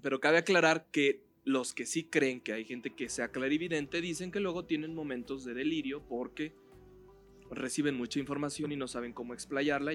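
Calm Mexican man discussing a book. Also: mid-range voice. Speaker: podcast co-host. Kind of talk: casual book chat.

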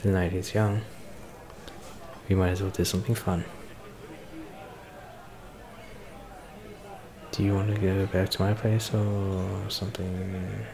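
A man playing a shy, flirtatious celebrity in an ASMR romance roleplay, speaking hesitantly with nervous soft laughs. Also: low-pitched, little pitch movement, normal speed, creaky. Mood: shy, flirty. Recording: bar crowd chatter behind voice. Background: crowd noise of a bar.

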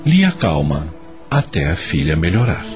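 A man with a Brazilian accent narrating a scripted radio drama as an expressive storyteller. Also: a bass voice, measured pace, loud music behind the voice.

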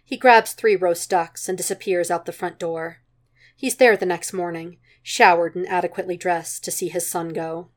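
Slightly low-pitched woman narrating a story. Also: steady tone, moderate pace. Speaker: amateur audiobook reader. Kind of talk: scripted narration of fan fiction.

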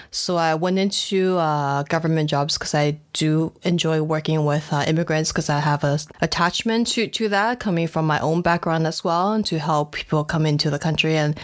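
A woman in her thirties with a very low voice talks about her life in a loose, friendly interview. She sounds relaxed and chatty, letting fillers slip in as she thinks aloud. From Taiwan and the United States. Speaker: guest, food photographer. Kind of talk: casual interview chat.

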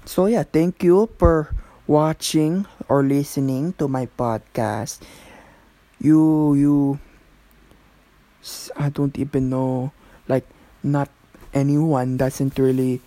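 A man's voice: medium pitch, fairly even intonation, slow, long pauses, mellow, rough recording. A man with a Filipino accent hosting a solo podcast in a rambling, casual way, gushing about things and searching aloud for words. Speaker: podcast host.

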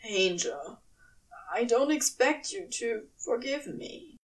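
Woman as a blunt, wry demon, lower and unhurried. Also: sing-song, recorded from a distance, poor audio. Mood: defensive. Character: male demon, defensive, apologetic, wryly teasing.

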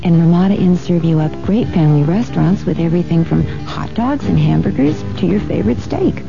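A woman's voice: lowish voice, lively intonation, a little fast, vocal fry. A woman announcer reading a scripted 1970s radio commercial. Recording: dull old radio recording with music.

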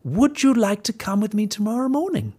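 A man's voice: high-pitched, sing-song, a little fast, darkish tone, breathy edge, voice pushed up, heavily acted. A man doing a sly, smarmy storybook wolf. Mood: wheedling. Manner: smarmy, wheedling. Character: sly, greedy, mock-polite male wolf. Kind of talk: storybook character voice.

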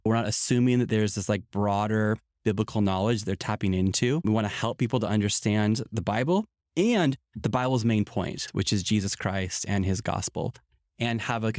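A man's voice: on the low side, dry recording, studio quality. A man who pastors a church in Ireland, talking earnestly in a podcast conversation and often saying "like". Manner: earnest, emphatic, peppered with "like".

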